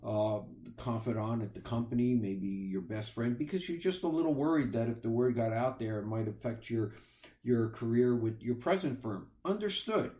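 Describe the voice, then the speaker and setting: A middle-aged man talks through advice in an informal, unscripted way at a medium pitch. He speaks straight to the listener with emphasis, often pausing mid-phrase and doubling back on his words as he explains. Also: warm tone. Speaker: recruiter giving career advice as podcast host.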